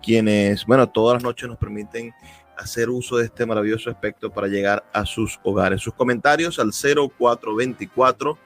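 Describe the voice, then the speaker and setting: A man's voice: lowish voice, steady tone, normal speed, soft background music. A man with a Venezuelan accent hosting a radio interview and putting questions to his guest.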